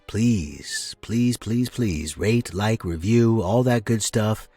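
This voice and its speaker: Male podcast host and narrator, lower-pitched with a rough husky edge, expressive storytelling read, very dry close sound. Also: slow, no room sound.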